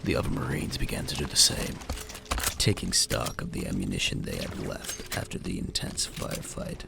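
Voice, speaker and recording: Male audio drama narrator; low pitch, animated intonation, descriptive scripted storytelling. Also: moderate pace, vocal fry, background noise.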